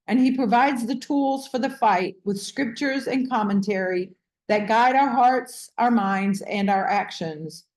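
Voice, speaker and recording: Woman reading aloud, measured and emphatic. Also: mid-range voice, darkish tone. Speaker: host of a read-aloud book discussion. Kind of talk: reading a book foreword aloud, with brief comment.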